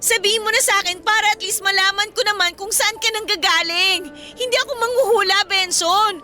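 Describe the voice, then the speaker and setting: A woman's voice: extremely high pitch, steady tone, fairly quick. A woman acting a desperate, imploring teenage student pleading with her boyfriend in an emotional radio drama. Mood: desperate, demanding.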